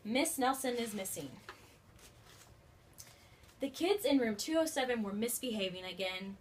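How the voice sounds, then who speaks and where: High-voiced woman reading to children. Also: measured pace. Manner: expressive, addressing young children. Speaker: teacher reading aloud to children. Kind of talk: storybook read-aloud with questions. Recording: faint background noise.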